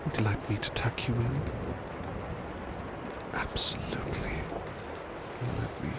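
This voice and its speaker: A man playing a devoted, proud butler in a soft-spoken ASMR roleplay, speaking in a hushed, formal and caring way. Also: mid-range voice, vocal fry. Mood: soothing. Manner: hushed, slow, formal, gently solicitous. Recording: strong background ambience noise.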